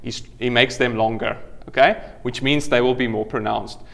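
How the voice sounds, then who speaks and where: A man's voice: mid-range voice, steady tone, normal speed, vocal fry, a bit bright. A middle-aged man with a South African accent, giving an academic conference talk in a conversational way, often checking in with "okay?" and restarting his phrases.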